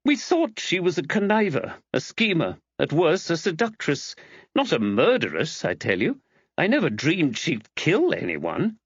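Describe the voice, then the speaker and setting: A man's voice: fairly high pitch, huge pitch swings, measured pace, husky, dry recording, muffled recording, voice pushed up, exaggerated voice. A man voicing an arch, gossipy village woman priest with wry, exaggerated mimicry in an audiobook. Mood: earnest. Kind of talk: audiobook character dialogue.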